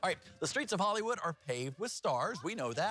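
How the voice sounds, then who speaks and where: Animated male news anchor, very lively pitch. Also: fairly quick. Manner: jokey, folksy, conversational. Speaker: TV news anchor and feature reporter. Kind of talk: chatty newscast banter and scripted narration.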